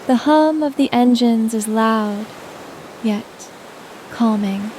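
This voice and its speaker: Soothing, mellow woman guiding sleep meditation. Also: fairly high pitch, slow, a little hiss or hum. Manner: soothing, gentle delivery. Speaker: guided meditation narrator. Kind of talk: scripted sleep meditation narration.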